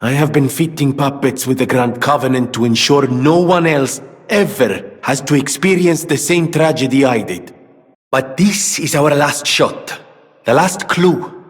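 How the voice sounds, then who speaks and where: A male actor playing an eccentric, haunted gentleman inventor in a video game, speaking in a theatrical, eloquent and earnest way. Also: mid-range voice, a little slow, big-room sound, lightly acted. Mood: urgent hope. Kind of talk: dramatic video game character dialogue.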